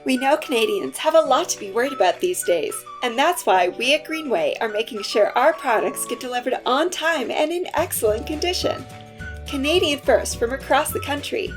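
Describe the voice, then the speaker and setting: A woman playing a reassuring advertisement announcer with a polished, promotional delivery in a radio play. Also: sits high. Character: reassuring female commercial announcer. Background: music.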